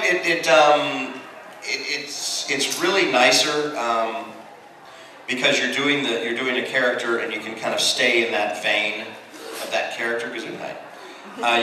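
A middle-aged American man, a voice actor telling casual anecdotes on a convention panel and acting out the exchanges he quotes. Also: medium pitch. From United States. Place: large convention hall, on stage. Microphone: handheld mic through PA, filmed from audience. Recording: echoey hall from far off, noisy.

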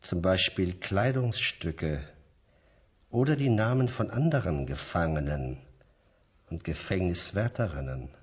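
Probing scientist, animated husky voice. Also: male voice, low-pitched, a little slow, heavily acted. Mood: polite, coaxing. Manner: probing, formal questioning. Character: male research scientist, probing, polite and businesslike. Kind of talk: German radio play acting.

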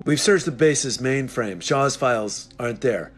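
A menacing male villain agent, acted by a man at a table read. He speaks in a mid-pitched voice with a cold, threatening manner. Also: measured pace, husky, faint music behind. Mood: cold menace.

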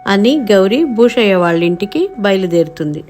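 A woman's voice: medium pitch, animated, normal speed, darkish tone. A woman narrating a scripted moral story for children.

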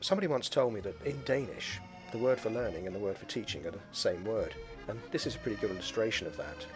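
A man, a school educator, giving a measured conference talk over slides and video, often pausing with "uh". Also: on the low side, music in the background. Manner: measured and explanatory, with frequent "uh" pauses.

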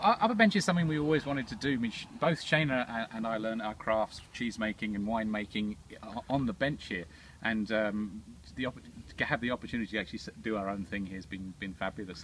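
A man in his forties, a winemaker, talking casually and haltingly with many restarts on an outdoor patio. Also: fairly low pitch, a little hiss or hum. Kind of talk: casual interview.

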